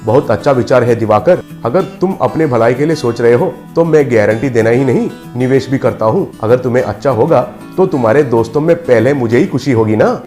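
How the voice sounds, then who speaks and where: A man's voice: medium pitch, animated, fairly quick, hardly any pauses, darkish tone, faint music behind, natural voice. A man playing a polite, trusting village family man in a comic story, earnest and pleading in manner. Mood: supportive.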